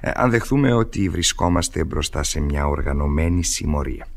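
A man's voice: extremely low pitch, animated, vocal fry, full character voice. An actor playing a shrewd, jovial male police inspector in an old radio drama, who hesitates and fills his speech with sounds. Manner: hesitant, with many filler sounds. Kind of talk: 1970s Greek radio drama acting. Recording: noisy old radio recording.

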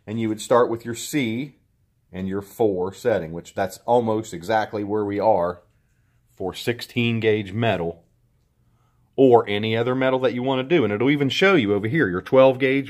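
A middle-aged man hosting a casual how-to walkthrough in his garage, relaxed and plain-spoken, with a few restarts as he explains. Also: fairly low pitch. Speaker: host. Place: indoor garage.

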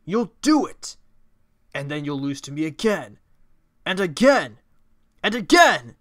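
A man's voice: on the high side, very animated, a little slow, fairly bright tone, dry recording, studio quality. A man voicing a young goat-monster villain from a video game, taunting and emphatic, with manic gloating. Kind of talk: video game character impressions.

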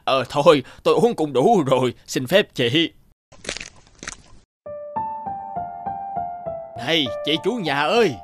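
A man's voice: a little high, lively intonation, normal speed, natural voice. Man playing a swooping, grumbling vain feng shui master. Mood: falsely polite. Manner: theatrical, muttering complaints, falsely polite. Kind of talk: cartoon folk-tale acting. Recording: music behind the voice.

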